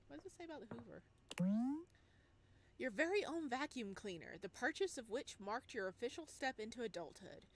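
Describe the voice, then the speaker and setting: A woman doing playful, expressive gameplay commentary, slipping between reading text aloud and exclaiming in singsong asides. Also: on the high side. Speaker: game streamer.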